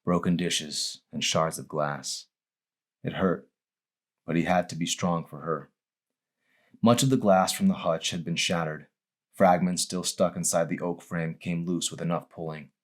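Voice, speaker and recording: A man's voice: deep voice, fairly even intonation, measured pace. A man reading his own novel aloud as a dramatic audiobook narration.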